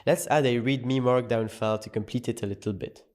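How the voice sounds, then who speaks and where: A man giving scripted, measured narration for a tutorial video. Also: mid-range voice, a little mellow. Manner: measured, explanatory. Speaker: course narrator.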